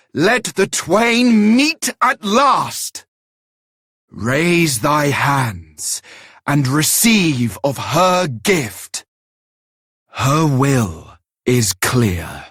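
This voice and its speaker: An actor playing a solemn holy guardian in a video game, declaiming gravely in measured archaic speech. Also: male voice, medium pitch, a bit bright, no echo, clean studio sound, full character voice. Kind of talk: theatrical video game voice acting.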